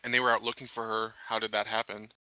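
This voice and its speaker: A man's voice: fairly low pitch, flat delivery, fast talker, pushed and bright, rough recording. A man playing a tabletop game, chatting casually and stammering a little as he asks and answers. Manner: hesitant, stammering.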